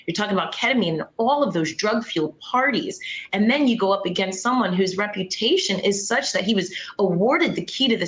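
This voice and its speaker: A woman's voice: medium pitch, fairly bright tone. A woman legal analyst talks through the case for a news audience in long, flowing explanations. Her remote feed sounds roomy and echoey. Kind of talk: news panel commentary.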